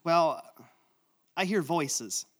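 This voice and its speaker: A woman's voice: low-pitched, lively intonation, very slow, heavily acted. A woman playing an anxious, hesitant therapy patient who stammers, in a comedy sketch. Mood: sheepish.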